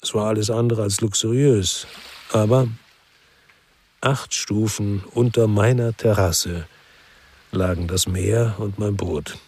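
A man's voice: lowish voice, steady tone, slow pace, darkish tone, breathy edge, full character voice. An actor playing a middle-aged ex-cop who tells his own story in a radio crime drama, laid-back, wry and reflective. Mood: contented, reflective. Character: contented male ex-cop living by the sea. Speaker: first-person narrator.

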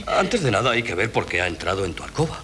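An actor playing a pompous, measured doctor in a 1970s radio drama, lecturing in manner. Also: male voice, lowish voice, sing-song. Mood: blustering. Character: pompous middle-aged doctor, lecturing and calm. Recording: old radio broadcast with faint hiss.

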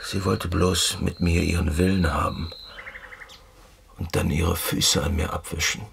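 Radio drama lover: taciturn, blunt working-class type, gravelly bass, noticeable birdsong and clicks. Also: male voice, flat delivery, unhurried, a bit bright, slight background noise, exaggerated voice. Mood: gruff, resigned. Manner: terse, blunt. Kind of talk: intimate radio play dialogue.

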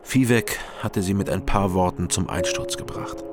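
Low-voiced brooding detective narrator played by a man. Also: lightly acted. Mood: sombre, haunted. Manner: measured, sombre. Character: brooding, world-weary male detective narrating his story. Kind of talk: first-person noir radio drama narration. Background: prominent music.

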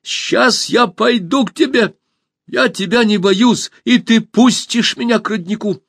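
A man voicing a brave young girl who calls out and argues in a raised, high voice. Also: unhurried, a bit bright, no echo, clean studio sound, exaggerated voice. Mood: defiant. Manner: high, clear and insistent calling. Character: brave, bold young girl space explorer.

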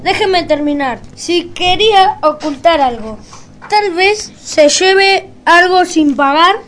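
A woman acts out a stubborn store manager with a high voice. The figure is officious and pedantic, pressing every point of the rules in an amateur comic radio play. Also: slow, fairly bright tone, exaggerated voice. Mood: insistent, suspicious. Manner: insistent, pedantic.

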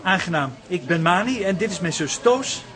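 Breezy photographer brother, high bright voice, radio drama. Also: male voice, expressive, moderate pace. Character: cheerful, easygoing, slightly vain photographer brother. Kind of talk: radio play acting.

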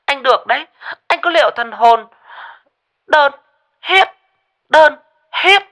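A man doing a shrill, jealous girlfriend. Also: expressive, slow pace, pushed and bright, full character voice. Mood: shrill threatening. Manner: shrill, sugary then menacing.

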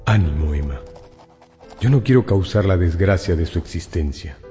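A cynical, selfish seducer in a radio drama, full of theatrical false remorse. His voice is deep and dark, set in long reverb with music underneath. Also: lively intonation, moderate pace. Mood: feigned anguish. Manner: theatrical, self-absorbed. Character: cynical, selfish male lover feigning tragic remorse. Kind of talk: Spanish-language radio drama acting.

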